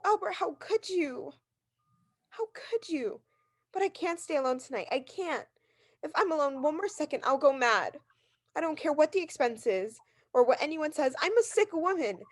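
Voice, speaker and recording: An actress playing a neurotic, bedridden woman who is agitated, pleading and self-pitying, in a 1940s radio thriller style. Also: high-pitched. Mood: frantic, indignant. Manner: agitated, pleading, breaking into outbursts.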